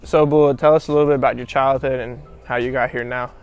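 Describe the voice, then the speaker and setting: A man's voice: mid-range voice, steady tone, fast talker, smooth, dull audio. A young man in his twenties hosting a casual interview, introducing his guest and asking questions. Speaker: interviewer and host. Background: background music.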